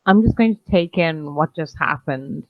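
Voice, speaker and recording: A woman playing in a tabletop game, talking in a measured way as she explains and argues her point. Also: deep voice, lively intonation, no room sound, phone-quality sound. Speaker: player. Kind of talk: casual tabletop play.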